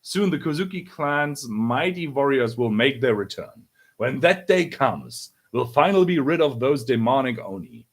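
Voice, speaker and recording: A man's voice: medium pitch, animated, normal speed. A man reading as a kindly townsperson, earnest and reassuring as they console a child. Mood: reassuring, fervent.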